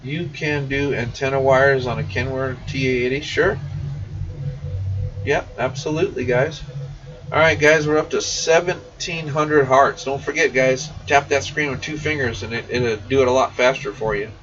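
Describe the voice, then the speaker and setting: A man's voice: mid-range voice, fairly even intonation, moderate pace, a bit bright. A man hosting a casual shop livestream, reading and answering viewer comments in a folksy, informal way. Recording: muffled, distant mic with faint noise.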